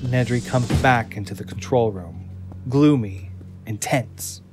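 A man's voice: medium pitch, unhurried, a little mellow, soft background music. Casting: male narrator for audio drama, mid-range voice, scripted scene description, prominent score underneath.

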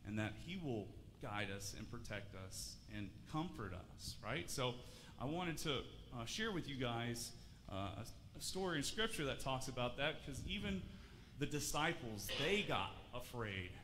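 A man's voice: mid-range voice, sing-song, a little slow, sharp tone. A man in his thirties giving a children's sermon in a church sanctuary, talking in a friendly way, asking questions and restarting often. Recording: church hall reverb, faint room noise.

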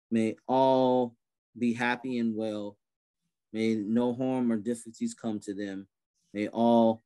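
A man in his thirties hosts an online gathering over a video call. He speaks in a lowish voice, easygoing and full of small fillers and restarts. The sound is plain laptop-call audio. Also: dark tone. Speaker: event host. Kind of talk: casual video call hosting.

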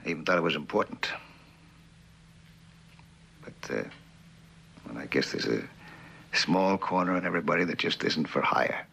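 An American man in middle age playing an earnest, conscience-stricken company man in a 1960s TV drama, speaking haltingly and soberly. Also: sits low, creaky, bright, forceful tone, husky, exaggerated voice. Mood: weary, resolute. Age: middle-aged. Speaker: actor playing a witness. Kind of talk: 1960s television drama acting.

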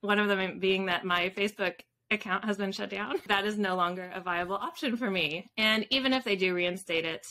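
A woman's voice: mid-range voice, fairly quick, few pauses, sharp tone, slight background noise. A woman in her thirties giving casual, informal interview answers on a video call, often interrupting herself. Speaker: podcast guest, author. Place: home room with bookshelves.

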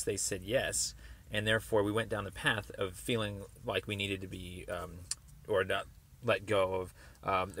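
A man making a phone call, explaining a complaint in a halting, wordy, circling way. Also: fairly low pitch, bright, forceful tone. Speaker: caller. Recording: noisy phone call recording.

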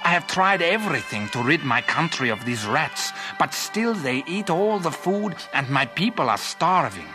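A man's voice: fairly high pitch, very animated, moderate pace, hardly any pauses, music in the background, pitched above the performer's own voice. A man voicing a stately, generous king in a children's story, formal and gracious. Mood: pleading. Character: grateful, generous, stately male foreign king.

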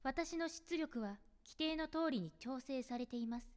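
A woman's voice: high-pitched, expressive, measured pace, in a large hall. A woman playing an emotionless, dutiful android girl in an anime visual novel, speaking in formal, clipped phrases. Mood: calm, detached.

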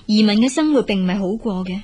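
An actress playing a burdened, sensible adult daughter in a radio drama, patient yet reluctant, reasoning earnestly in Hong Kong Cantonese. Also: female voice, medium pitch, lively intonation, quick pace, a little mellow, breathy edge. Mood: aggrieved.

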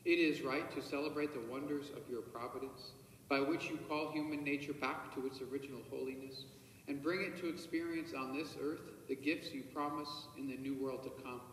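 Priest reciting mass prayers slow and solemn, far from the mic, big echoey church w long reverb. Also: male voice, mid-range voice, fairly even intonation, a little hiss or hum. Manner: measured, solemn liturgical recitation, quiet murmured private prayers. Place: large church sanctuary at the altar. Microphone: far camera.

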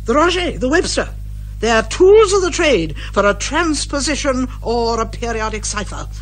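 An actress playing an eccentric, hearty British amateur sleuth in a radio comedy, confident and fond of lecturing. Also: female voice, a little high, lively intonation, normal speed. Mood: brisk, knowing. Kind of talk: 1980s radio comedy detective drama acting.

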